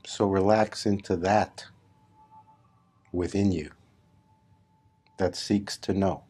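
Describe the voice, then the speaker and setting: Male meditation guide: deep register, unhurried delivery, deliberate phrasing with long gaps. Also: steady tone, mellow, husky. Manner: measured, deliberate, with long pauses between short phrases. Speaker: spiritual teacher leading a guided meditation. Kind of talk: slow guided meditation talk.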